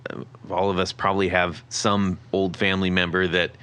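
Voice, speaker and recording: A man hosting a podcast interview, asking halting questions with fillers and friendly reactions. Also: low voice, little pitch movement, sharp tone, clear, resonant tone. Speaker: podcast host. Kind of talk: casual interview chat.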